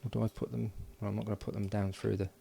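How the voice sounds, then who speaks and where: A man talking himself through a hands-on electronics project, halting and thinking aloud with frequent restarts. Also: fairly low pitch, flat delivery, creaky, dark tone, slight background noise. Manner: halting, muttering, many restarts and fillers. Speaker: electronics hobbyist.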